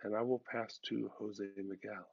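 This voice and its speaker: Low-voiced middle-aged guy on webcam, lots of ums, dry dull sound. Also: normal speed, creaky, slightly rough, no room sound. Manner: relaxed, with many "um"s and "uh"s. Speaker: faculty member. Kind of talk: recorded video invitation. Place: room at home with a large painting. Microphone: video call webcam.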